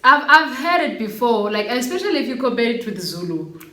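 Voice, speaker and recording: A woman's voice: a little high, a little fast. A young adult woman with a South African accent, hosting a casual chat and reading out viewer stories, laughing often. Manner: lively, laughs often, code-switches into Xhosa. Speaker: host. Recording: echoey room, faint background noise.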